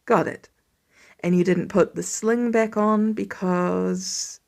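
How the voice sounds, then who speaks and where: A woman voicing a tired, protective middle-aged father with a dry, measured manner, in a story reading. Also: medium pitch, steady tone, gravelly, darkish tone, pitched below the performer's own voice. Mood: wry, stern.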